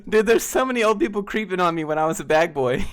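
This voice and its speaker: A soft-voiced man from the American South, chatting casually on a live stream, rambling with fillers and frequent laughs. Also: high voice, quick pace. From Southern United States. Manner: relaxed, rambling, laughs often, many fillers. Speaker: streamer and host.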